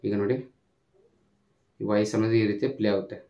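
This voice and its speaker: A man's voice: lowish voice, fairly even intonation, fast, mellow, highs cut off. A man hosting a casual tech tutorial, giving step-by-step instructions, often repeated, with English tech terms mixed in. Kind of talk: casual screen-recorded app tutorial.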